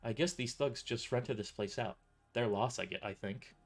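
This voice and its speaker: A man's voice: medium pitch, normal speed, soft background music. A man reading his own first-person story aloud as narrator, often stumbling and restarting his sentences. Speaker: author narrating his novel.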